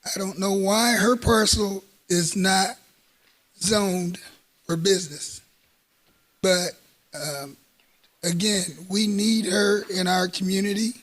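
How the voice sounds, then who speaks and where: High-pitched guy, a bit hoarse, slow and halting, lots of ums. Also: fairly bright tone. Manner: hesitant, many fillers. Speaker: homeowners association president. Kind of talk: public comment at council meeting. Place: council chamber.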